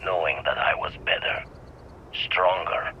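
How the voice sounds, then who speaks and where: An actor playing an old reformed space pirate turned astronomer, musing over the past in slangy storytelling for a video game. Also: male voice, low voice, measured pace. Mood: rueful, reflective. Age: older. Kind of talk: scripted monologue, game voice acting. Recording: dull lo-fi audio with clear noise.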